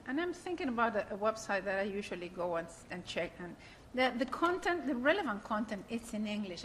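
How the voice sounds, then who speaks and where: Woman moderator, fairly high voice, earnest, faint room hum behind. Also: fairly bright tone. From Argentina. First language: Spanish. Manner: earnest, explanatory, with frequent restarts and fillers. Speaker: workshop moderator. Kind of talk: panel moderation at a conference.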